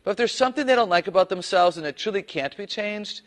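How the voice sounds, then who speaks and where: Animated low-voiced woman speaker. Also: quick pace. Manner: animated storytelling, playful asides. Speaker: guest speaker. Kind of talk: personal story told to camera.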